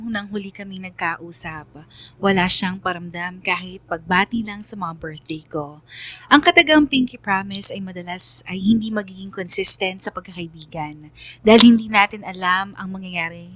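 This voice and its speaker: A woman's voice: mid-range voice, pushed and bright, lightly acted. Woman acting an earnest young storyteller over music. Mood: wistful. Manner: earnest, confessional. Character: reflective, loyal young woman telling her friendship story. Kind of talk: radio drama narration, letter to a DJ.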